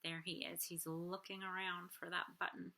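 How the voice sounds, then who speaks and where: A woman teacher reading a picture book aloud to children, theatrical and expressive, explaining things simply. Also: lowish voice, normal speed, creaky.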